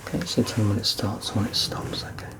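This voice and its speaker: A man in his thirties doing a soft-spoken ASMR roleplay as a doctor, gentle and reassuring, often close to a whisper. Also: on the low side, expressive, fast, rough recording. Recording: clear background noise and ambience.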